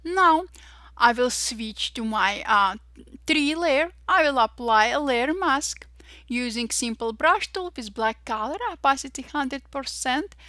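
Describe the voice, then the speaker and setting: A young woman with an Armenian-Russian accent, cheerfully walking through software steps one by one and saying "voila" after each result. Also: sits high, lively intonation, no room sound. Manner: cheerful, says "voila" and "perfect" often, slow deliberate steps. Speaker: Photoshop tutorial instructor. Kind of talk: step-by-step software tutorial narration.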